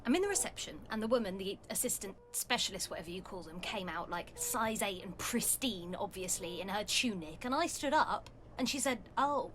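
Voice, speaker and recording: An actress playing a blunt, sardonic pregnant schoolteacher with a dramatic way of telling things, in an audio play. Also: female voice, medium pitch, animated, a little fast, few pauses, a little hiss or hum, lightly acted, low-quality recording. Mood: indignant.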